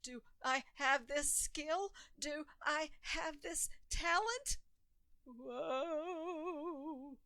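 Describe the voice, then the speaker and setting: A woman's voice: sits high, extremely slow, pushed and bright, no room sound, pitched above the performer's own voice, exaggerated voice. A woman voicing an eager, awkward little boy who longs to belong, in an exclamatory, pleading storybook manner. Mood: alarmed.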